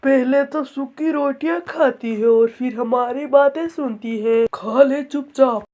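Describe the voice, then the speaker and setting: A woman voicing an elderly mother-in-law bird in a children's cartoon, doting and fussing, quick to disapprove. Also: sits high, warm tone. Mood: scolding. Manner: fussing, pitying. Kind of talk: Hindi children's cartoon voice acting.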